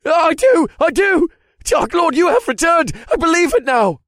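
Shrill, terrified grovelling warlock. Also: male voice, sing-song, normal speed, fairly bright tone, no echo, clean studio sound, full character voice. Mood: panicked begging. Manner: stuttering, panicked pleading. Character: terrified grovelling male warlock begging for his life.

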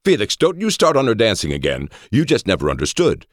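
A man plays a flamboyant nobleman in a story reading. The voice lifts well above a deep base and swings widely, exclamatory and theatrical, full of mock indignation. The sound is close and dry. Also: wildly swooping pitch, fairly quick, no room sound. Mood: wounded protest. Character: flamboyant, mock-outraged lord, jovial old friend. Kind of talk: audiobook character voice.

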